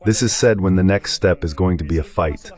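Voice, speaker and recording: A man's voice: sits low, brisk, darkish tone. A man as a talk show guest in a voiced-over translation, giving conversational political commentary.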